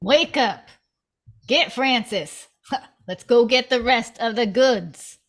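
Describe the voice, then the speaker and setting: A woman's voice: on the high side, slow, a bit bright, exaggerated voice. A woman at a table read playing a bossy, greedy older criminal mother. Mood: greedy, commanding.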